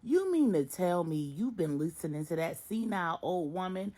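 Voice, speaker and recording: An exasperated grown man troubled over his marriage, voiced by a female storyteller. He protests plaintively to his mother in a husky, changeable voice. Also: fairly low pitch, animated, moderate pace, mellow, pitched above the performer's own voice. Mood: incredulous. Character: troubled, exasperated adult son searching for his wife.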